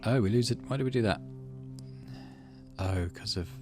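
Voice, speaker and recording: A man's voice: lowish voice, normal speed, over music. A man hosting a video game playthrough, reading the story text aloud and adding casual commentary. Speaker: gaming channel host.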